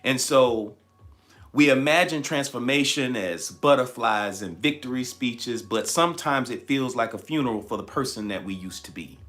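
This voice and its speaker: A man with a bright, forceful voice that carries a slight tired rasp. He talks like a motivational livestream host, landing on key phrases and repeating them for emphasis. His pitch sits in an average male range. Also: normal speed. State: slightly raspy, tired voice from shouting the night before. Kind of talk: motivational livestream talk, preaching-like.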